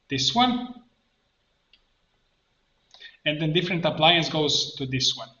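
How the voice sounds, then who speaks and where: A man presenting an informal screen-share tutorial, thinking aloud step by step in halting phrases. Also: a little high, bright, forceful tone. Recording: roomy echo, muffled band, background noise. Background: keyboard typing and mouse clicks.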